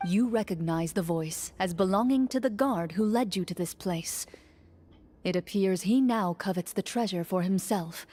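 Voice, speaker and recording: A woman's voice: medium pitch, moderate pace, a little mellow, lightly acted. A woman playing a composed, solemn game master in an anime game, narrating in a formal, theatrical way. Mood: hushed, suspenseful. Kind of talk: anime video game voice acting, scripted narration. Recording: light music behind the voice.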